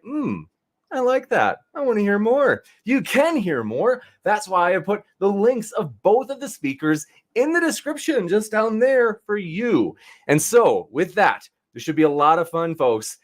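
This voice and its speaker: Upbeat guy hosting a debate, high voice that jumps all over. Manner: chatty, enthusiastic, addresses audience as folks. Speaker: debate moderator. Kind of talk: upbeat moderator introduction.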